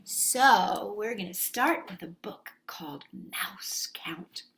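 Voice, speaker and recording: A woman's voice: mid-range voice, expressive, slow, a bit bright, in a whisper. A woman hosting story time for young children, reading and talking expressively and putting simple questions to her listeners. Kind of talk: story time for young children with read-aloud and sing-along songs.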